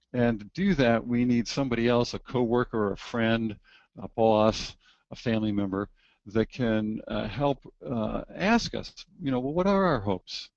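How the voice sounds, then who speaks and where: A man's voice: mid-range voice, no echo. Man presenting a muffled webinar talk. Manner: measured, explanatory, with frequent fillers. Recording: slightly muffled, dry webinar audio.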